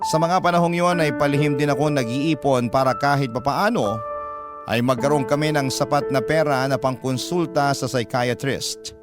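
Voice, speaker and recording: A male narrator as a devoted, troubled Filipino boyfriend recounting his story for radio. His medium-pitched voice sits over clearly audible music. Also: steady tone, moderate pace, natural voice. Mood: rueful, earnest.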